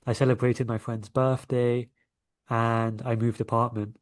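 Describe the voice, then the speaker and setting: A man's voice: medium pitch, flat delivery, measured pace. A man from London with a London English accent, telling stories conversationally as an interview guest. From London, England. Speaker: interview guest, coach and energy healer.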